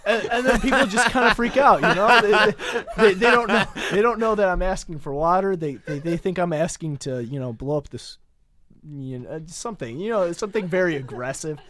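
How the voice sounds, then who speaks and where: A man playing a boastful immigrant telling rambling drunken stories on a comedic college radio bit, halting with many "uh"s. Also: sits high, fairly bright tone, voice pushed up. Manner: halting, rambling delivery full of "uh"s.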